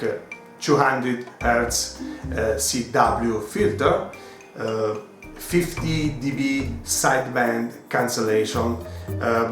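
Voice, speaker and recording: A middle-aged man with an Italian accent, speaking in English, which is not his first language. He talks very slowly and stops often for filler sounds. His voice rings in an echoey room with faint guitar music behind. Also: mid-range voice. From Italy. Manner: slow, careful, many filler sounds. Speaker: hobbyist presenter. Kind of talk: unboxing a radio kit.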